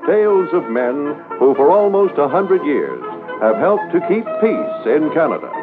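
A male announcer introduces a 1950s radio drama in a declamatory style, slow and with big swings of pitch. The old recording is thin and narrow, with music. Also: very animated.

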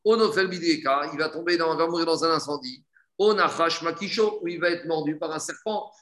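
Casting: male religious teacher, high voice, didactic question-and-answer delivery, echoey room. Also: fairly bright tone. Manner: didactic, asks and answers own questions, repeats phrases. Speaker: religious teacher giving a Talmud class. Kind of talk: explanatory Talmud lesson, reading a text and translating it.

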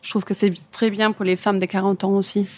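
A woman's voice: medium pitch, little pitch movement, a little fast, a little mellow. German-accented woman, hesitant conversational delivery. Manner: hesitant, searching for words in imperfect French. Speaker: interview guest, furniture designer. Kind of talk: casual interview answers about own career.

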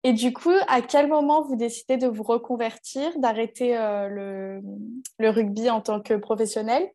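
A woman hosting a podcast interview, asking short, direct questions. Her voice is a little higher than average, with a warm, mellow tone. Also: fairly even intonation, normal speed. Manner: brief acknowledgements, plain questions.

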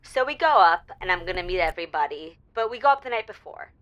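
A woman's voice: lowish voice, animated, fast talker, fairly bright tone, dull audio. A woman playing a chatty, hesitant caller to a radio show in an audio drama, speaking conversationally. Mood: sheepish storytelling. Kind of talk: semi-improvised audio drama dialogue.

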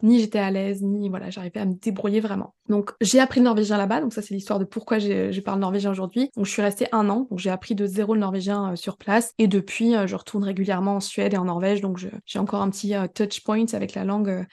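A young adult woman, an English coach, answering interview questions casually with a hesitant, halting way of talking. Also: medium pitch, steady tone, gravelly, dark tone. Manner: hesitant, many fillers and restarts. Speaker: English coach, podcast guest.

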